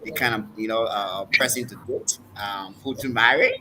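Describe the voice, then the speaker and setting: A man's voice: lowish voice, moderate pace, background noise. A man with a Liberian accent hosting an online interview, talking with frequent fillers and restarts. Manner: hesitant, many fillers and restarts. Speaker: host, preacher.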